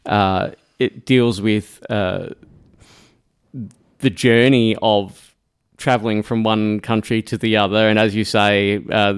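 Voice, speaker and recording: A man's voice: on the low side, flat delivery, clean tone. A man hosting an arts radio show, talking casually in a studio with lots of fillers and restarts. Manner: halting, many fillers and restarts.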